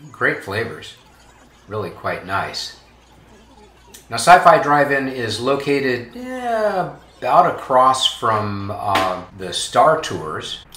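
A man in his seventies talks through a restaurant visit in a loose, chatty way, pausing often on filler sounds. His voice is low but bright and forward. The recording is roomy, with faint ambient noise behind him. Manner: easygoing, chatty, many "uh" fillers. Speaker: vlog co-host. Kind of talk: casual restaurant tour narration. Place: themed dine-in restaurant, indoors.

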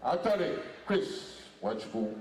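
A middle-aged man speaking formally and deliberately as master of ceremonies, introducing guests over a handheld microphone. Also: fairly high pitch, sing-song, a little mellow, recorded from a distance. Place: large hotel banquet hall. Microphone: handheld mic over PA, picked up by distant camera. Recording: echoey banquet hall PA, from afar, music.

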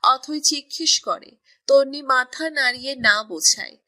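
A woman narrates a romance story in a high, bright voice with an even storytelling manner. Her delivery sounds crisp and scripted. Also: measured pace.

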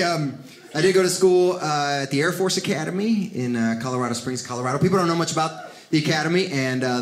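A man in his thirties from Cuba, raised in Miami, doing a stand-up set on a theater stage with a handheld mic, switching into high, shouted character voices. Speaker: stand-up comedian. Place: theater stage before a live audience. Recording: roomy theater with audience ambience.

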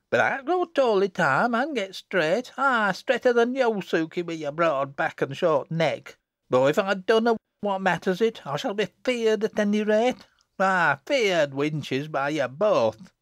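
A high-pitched, peevish little girl from a storybook, acted out by a man, with a Lancashire accent. The delivery swoops about and bites, sharp, taunting and full of threat, on a close, dry recording. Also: huge pitch swings, unhurried, husky, no room sound, exaggerated voice. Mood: sharp, threatening. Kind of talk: audiobook character acting.

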